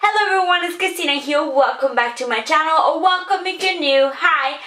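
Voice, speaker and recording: A woman's voice: high voice, a little fast, pushed and bright, faint music behind. Chatty Italian-accented young woman, echoey room. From Sicily, Italy. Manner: chatty, many fillers and self-corrections. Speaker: vlogger. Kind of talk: casual chat to camera. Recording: echoey room, mic at a distance.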